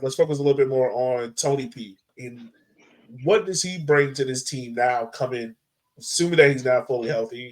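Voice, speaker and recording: A man's voice: mid-range voice, poor audio. A man hosting a casual soccer podcast, chatting about the game and putting questions to his fellow panelists. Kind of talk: casual sports chat.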